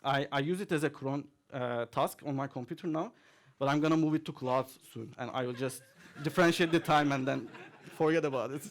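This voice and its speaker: A man talks through a tech demo at a conference, at an everyday middle pitch. He is relaxed and chatty, leaning on fillers and chuckling now and then as he goes. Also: fairly quick. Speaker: conference speaker. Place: conference hall, audience present.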